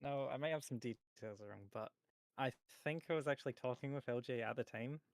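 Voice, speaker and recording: A man voice actor telling a story in a casual chat, animated and acting out quotes. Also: mid-range voice, brisk, dry recording.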